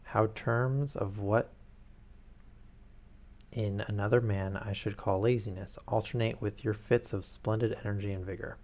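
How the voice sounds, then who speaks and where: A man's voice: on the low side, fairly even intonation, a little slow, darkish tone. A man reading aloud the lines of an earnest male companion, a doctor, in a plain, sincere way.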